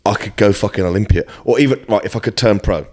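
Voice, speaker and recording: Deep-voiced British man, blunt and sweary. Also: quick pace, a little mellow. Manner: blunt, sweary, self-deprecating. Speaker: podcast guest, fitness coach. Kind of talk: casual chat.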